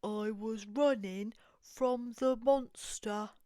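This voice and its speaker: Storybook bear cub, female, brave and curious, English accent , played by a woman. Very slow, smooth delivery. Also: fairly high pitch, warm tone, dry recording, pitched below the performer's own voice. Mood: uneasy.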